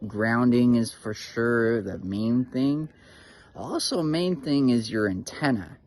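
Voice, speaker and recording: A man's voice: mid-range voice, slow, a little mellow, slight background noise. A man giving a casual, rambling show-and-tell about his gear, with lots of "you know" fillers and a slightly nervous, informal manner. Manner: admits to nervousness. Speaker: hobbyist radio reviewer.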